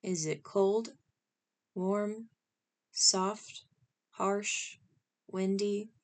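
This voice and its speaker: A woman leading a scripted guided meditation in a calm, instructional manner, speaking in short, spaced phrases. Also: mid-range voice, drawn-out words.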